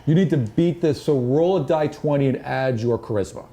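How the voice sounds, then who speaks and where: A man's voice: medium pitch, brisk, warm tone, a little hiss or hum. A man working as game master, narrating a fantasy tabletop game in a casual, improvised way with vivid sound words. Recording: music playing behind the voice. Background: background music.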